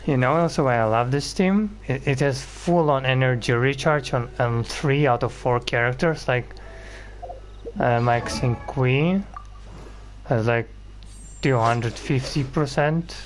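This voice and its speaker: A man talks casually through his gameplay with a loose, rambling delivery and a medium-pitched voice. Faint game music plays behind him as he comments like a video host. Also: unhurried.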